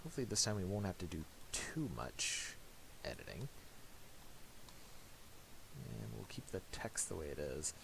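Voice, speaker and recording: A man talking through a software tutorial casually, thinking aloud in a hesitant, piecemeal way. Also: sits low, creaky. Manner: hesitant, halting, piecemeal delivery. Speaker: tutorial presenter. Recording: steady hiss and clicking noise.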